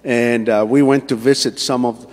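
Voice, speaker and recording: A man from Colombia with a Colombian Spanish accent, a native Spanish speaker, preaching in English in a halting way with many fillers and restarts. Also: mid-range voice, fairly even intonation, darkish tone, in a large hall. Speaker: pastor. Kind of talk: church sermon. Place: church sanctuary.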